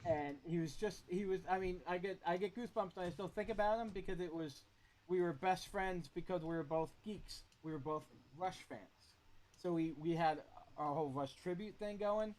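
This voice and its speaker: Man, slightly high voice, casual video-call chat, reflective, rambling. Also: brisk, a little mellow, poor audio. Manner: reflective, rambling with many restarts. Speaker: guitarist on a group video call.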